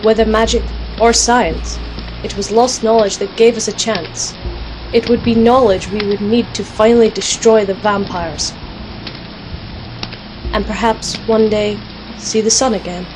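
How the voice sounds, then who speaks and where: A woman's voice: mid-range voice, steady tone, a little slow, background noise, natural voice. A woman playing a defiant, sardonic alchemist, wry and assertive, in an audio drama with narration. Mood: wry, resolute. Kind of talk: first-person narration and audio drama acting.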